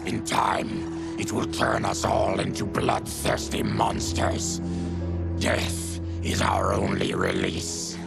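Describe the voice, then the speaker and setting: An actor playing a proud, embittered disfigured mutant leader with a gravelly growl in a video game cutscene. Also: male voice, low voice, big pitch swings, slow, over loud music, heavily acted. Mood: grim, bitter.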